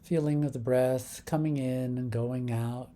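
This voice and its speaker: A man leading a guided meditation in a calm, unhurried manner, leaving long pauses between short phrases. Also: mid-range voice, a little hiss or hum.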